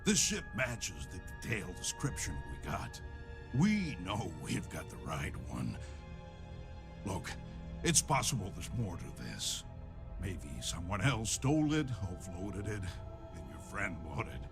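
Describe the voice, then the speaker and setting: An actor playing a hard-nosed, gruff space mercenary in a video game, curt and threatening. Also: male voice, low voice, huge pitch swings, a little slow, exaggerated voice. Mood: firm, dismissive. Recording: music behind the voice. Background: game music.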